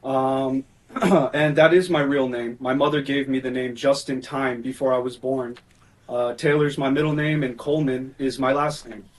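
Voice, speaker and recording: A man from Hawaii, the founder of a church, calmly and politely introducing himself as a guest on a live show. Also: mid-range voice, poor audio. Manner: calm, polite, measured.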